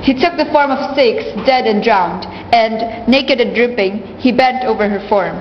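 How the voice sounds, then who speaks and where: A woman's voice: on the high side, measured pace, a little hiss or hum, close to an everyday voice. A woman narrating a myth in a scripted storybook style, as the narrator of a radio play. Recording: muffled, echoing, music behind.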